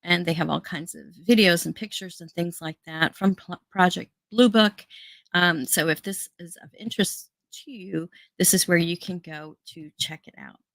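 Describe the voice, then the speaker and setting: An American woman hosts an online show in a low, close voice with a dry sound. She talks earnestly and casually to her viewers, with plenty of fillers and restarts along the way. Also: moderate pace, gravelly, fairly bright tone, no echo. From United States. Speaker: host.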